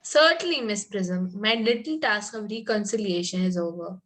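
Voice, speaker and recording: A woman's voice: medium pitch, normal speed, fairly bright tone, faint music behind, close to an everyday voice, low-quality recording. A woman playing a sweet, naively romantic young Victorian girl, speaking earnestly and a little primly in an amateur table read of a stage comedy. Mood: sweet, wistful.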